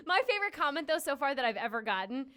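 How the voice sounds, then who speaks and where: A woman's voice: high voice, sing-song, fast, background noise. A woman in her twenties chatting casually as a podcast guest, in a rambling, self-deprecating, salty way. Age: 20s. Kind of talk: casual group chat.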